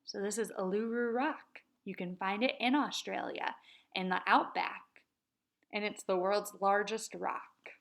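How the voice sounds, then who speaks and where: A woman kindergarten teacher reading aloud to young children at a very unhurried pace. She draws out words in a patient, sing-song chant so the class can repeat after her. Her voice sits at an ordinary middle pitch. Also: fairly even intonation, vocal fry, fairly bright tone.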